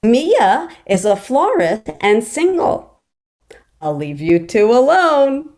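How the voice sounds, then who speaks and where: Woman as a gushing matchmaker, slow and swooping. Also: on the high side, very animated, darkish tone. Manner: gushing, pushy. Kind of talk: screenplay table read acting.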